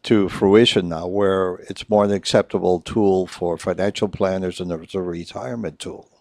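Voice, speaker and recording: A middle-aged man with a very low, deep voice talks through a studio mic. His affable, agreeable manner suits a casual radio interview, and the sound is close and dry. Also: fairly even intonation, creaky, a little mellow, dry recording. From Puerto Rico. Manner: affable, agreeable, quick to chime in. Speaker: reverse mortgage consultant guest.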